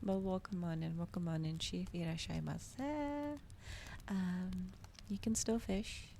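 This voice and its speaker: Soft, creaky-voiced woman streaming ASMR. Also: on the low side, slow pace. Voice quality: soft, gentle voice, often slipping into whisper. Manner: casual, hesitant, chatting to viewers. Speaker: streamer hosting a live reading. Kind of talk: soft-spoken ASMR livestream chat. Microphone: regular microphone, not ASMR-specific. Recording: audible background noise, fireplace ambience. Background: crackling fireplace ambience.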